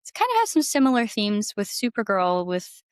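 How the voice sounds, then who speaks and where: A woman's voice: medium pitch, sing-song, a little fast, clear, resonant tone, no echo, clean studio sound. A woman chatting casually as a podcast guest, with an enthusiastic, informal way of talking. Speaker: podcast guest, singer-songwriter. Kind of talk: casual chat.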